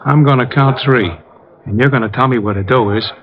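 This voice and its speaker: A man's voice: medium pitch, fairly quick, natural voice. A man playing a slangy, threatening hired gunman in an old-time radio crime drama. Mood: angry menace. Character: menacing, dim, trigger-happy male hired gunman. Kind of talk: 1940s-50s radio gangster acting. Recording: muffled vintage radio recording.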